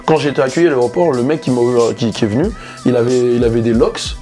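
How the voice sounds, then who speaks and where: A young man with a French accent, chatting casually and telling stories in slangy, animated speech. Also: medium pitch, quick pace, soft background music. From France. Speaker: interview guest. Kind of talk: casual chat, telling anecdotes.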